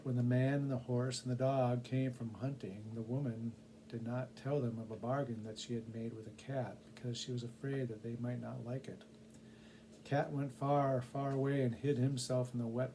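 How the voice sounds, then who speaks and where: Mellow-voiced man reading stories. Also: mid-range voice, a little hiss or hum, low-quality recording. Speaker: volunteer story reader. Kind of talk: casual chat and storybook narration.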